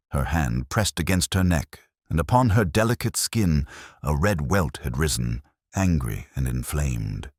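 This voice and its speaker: Very deep-voiced man narrating dramatically. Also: dry recording, clean studio sound. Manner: measured, formal, theatrical. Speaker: audiobook narrator. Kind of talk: scripted dramatic narration of a detective story.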